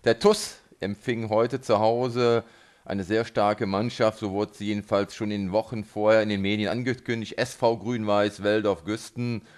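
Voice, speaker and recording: A man hosting a local sports radio interview, phrasing his questions in a halting, hesitant way. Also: on the low side, level tone. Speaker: radio host interviewing a football coach.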